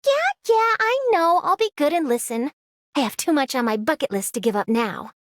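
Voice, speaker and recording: High, animated cheeky girl. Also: moderate pace, no room sound. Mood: cheeky, resigned. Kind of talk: game character voice line.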